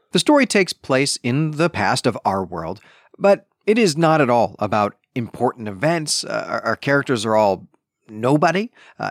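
A man's voice: medium pitch, no echo, studio quality. A man hosting a podcast, talking through a book review conversationally, explaining and adding self-correcting asides. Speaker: podcast host.

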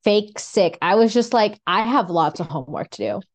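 A young woman co-hosting a casual podcast, with a bubbly, playful way of talking. She has a slight runny nose, and her voice sits at an ordinary middle pitch. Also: animated, moderate pace. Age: young adult. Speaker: podcast co-host. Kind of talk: casual chat.

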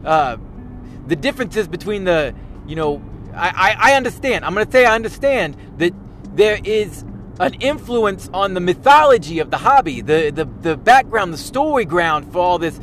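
A man playing a pompous, high-voiced gaming know-it-all. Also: sing-song, normal speed, fairly bright tone, slight background noise. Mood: smug. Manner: rambling, stumbling restarts, repeats himself; boastful and lecturing. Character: pompous self-important male tabletop gaming know-it-all. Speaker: self-styled podcast expert. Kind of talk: parody monologue.

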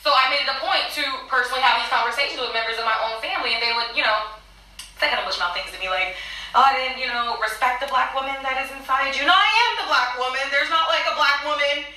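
A woman's voice: high voice, fast talker, bright, forceful tone. Earnest woman in an echoey room. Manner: earnest, emphatic, personal storytelling. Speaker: podcast co-host. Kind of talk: casual discussion. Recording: echoey room, distant mic.

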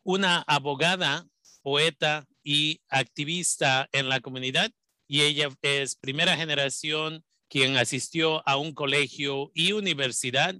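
A man, a professor, giving a measured, formal introduction of a guest speaker. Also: on the high side, sharp tone, dry recording.

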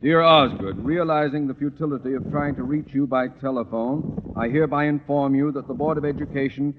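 An actor playing a pompous, irascible middle-aged school principal with a theatrical, blustering delivery in an old radio comedy. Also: male voice, mid-range voice, steady tone, a little fast, lightly acted. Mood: brisk, composed. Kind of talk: 1940s-50s radio comedy acting. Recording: muffled, noisy old radio recording.

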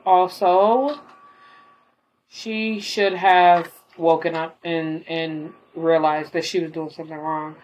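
A young woman with a slightly hoarse voice after an illness, rambling casually in a late-night monologue at home, full of fillers. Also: fairly low pitch, slight background noise, low-quality recording. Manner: rambling, filler-heavy, talks while gaming. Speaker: podcaster.

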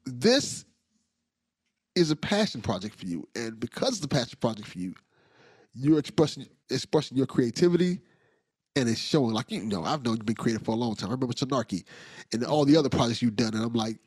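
A man's voice: medium pitch, animated, brisk, a little mellow, slightly rough, dry recording. Enthusiastic, slangy man podcast host. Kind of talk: casual interview chat.

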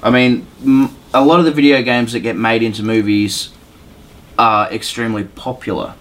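Man, average pitch, casual podcast chat, informal, slangy, opinionated. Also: steady tone, moderate pace. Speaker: podcast co-host.

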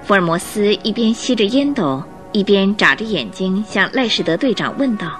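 A woman narrator telling a radio drama story in an expressive, scripted and dramatic style, building suspense. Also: medium pitch, moderate pace. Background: music.

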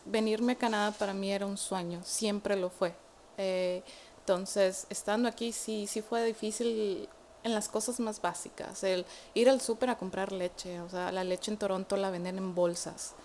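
Young Mexican woman, lively guest storyteller, faint background noise. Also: medium pitch, moderate pace. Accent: Mexican Spanish. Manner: animated storytelling with many fillers. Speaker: guest, immigrant to Canada. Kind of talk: casual interview chat.

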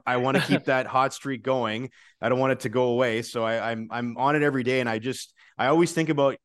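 Podcast host guy, kinda low smooth voice, rambles into long questions. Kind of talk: casual interview chat.

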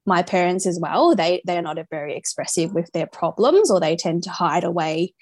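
A woman from Australia with an Australian accent, a podcast guest talking openly about her upbringing in a relaxed way. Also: on the low side, moderate pace, no room sound, studio quality. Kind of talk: casual interview.

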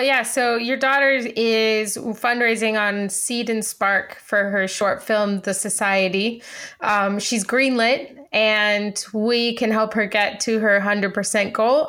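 A woman's voice: medium pitch, fairly bright tone. A woman co-hosting a podcast in a casual, playful and upbeat way. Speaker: podcast co-host.

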